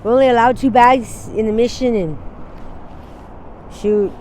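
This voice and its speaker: A woman in her forties from Wyoming, telling her story in a halting, rambling way during an outdoor street interview. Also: a little high, darkish tone, a little hiss or hum. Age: 40s. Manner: rambling, halting, laughs easily.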